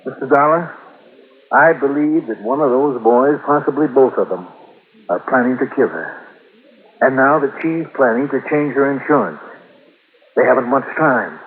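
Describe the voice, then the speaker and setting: A man's voice: medium pitch, animated, husky. Through a thin, narrow old radio recording comes an earnest American doctor. He is formal and urgent, hesitating and then pressing his points with alarm, in classic radio drama style. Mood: agitated, pleading. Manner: formal, urgent, emphatic, halting. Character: earnest, alarmed male doctor convinced of a murder plot. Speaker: radio actor.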